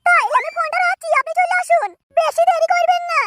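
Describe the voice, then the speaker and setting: Shrill, extremely high-pitched cartoon wife. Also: female voice, fairly even intonation, a little fast, heavy background noise. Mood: impatient. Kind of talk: exaggerated comic cartoon dialogue.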